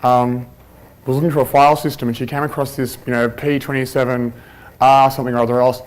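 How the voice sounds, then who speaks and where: A man giving an informal conference presentation on a handheld mic, chatty and relaxed, with plenty of ums and restarts. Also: medium pitch, fast. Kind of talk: informal conference talk with anecdotes. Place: conference room at a workshop. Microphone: handheld microphone.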